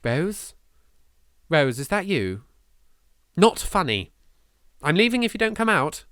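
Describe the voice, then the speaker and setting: A man's voice: fairly high pitch, sing-song, slow, a bit bright, smooth, no room sound, studio quality, voice pushed up, exaggerated voice. A man voicing an anxious, frightened young woman exploring a haunted mansion, reading horror visual novel text theatrically. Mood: calling out, scared.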